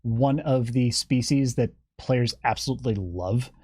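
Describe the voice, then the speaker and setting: A man speaking as a guest game developer in a casual interview, animated and jokey, thinking aloud and slipping into imagined dialogue. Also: mid-range voice, measured pace, husky, no room sound, studio quality. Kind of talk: lively casual interview chat.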